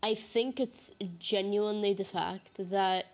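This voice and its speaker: A woman's voice: medium pitch, steady tone, unhurried. A woman game streamer chatting casually in a rambling, sweary storytelling manner. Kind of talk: casual chat. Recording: dull lo-fi mic.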